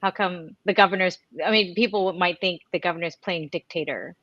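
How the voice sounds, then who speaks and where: A woman's voice: on the low side, fairly even intonation, a little fast, no echo, muffled recording. A woman co-hosting a talk show, chatting casually and asking loose questions. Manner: chatty, laughs often, asks loosely. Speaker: co-host.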